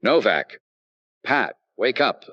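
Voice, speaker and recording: A man plays a hard-boiled, earnest investigator in a vintage radio detective serial. His mid-pitched voice moves with lively inflection and a no-nonsense urgency. The sound is slightly dull and lo-fi. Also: takes it slowly, dry recording. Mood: urgent, protective. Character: earnest, dogged male insurance investigator in a noir detective serial. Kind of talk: old-time radio detective acting and narration.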